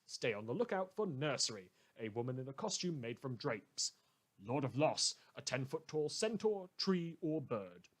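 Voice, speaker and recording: A man playing a florid, drily wry radio host who reads scripted news with dramatic, deliberate emphasis. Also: mid-range voice, big pitch swings. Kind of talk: scripted fictional community radio news monologue.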